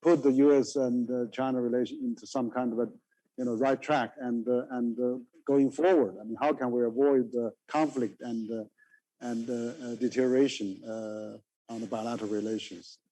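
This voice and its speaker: A man moderating a panel discussion, asking questions in a halting way with many pauses and restarts. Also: medium pitch, fairly even intonation, warm tone, rough recording.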